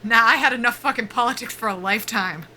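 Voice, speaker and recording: A woman's voice: a little high, fast talker, bright, forceful tone, slight background noise. A woman acting a nervy, foul-mouthed young soldier in a sci-fi audio drama, wry and defiant in a casual, joking way. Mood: sardonic, defiant. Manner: sardonic, casual, sweary, joking under nerves.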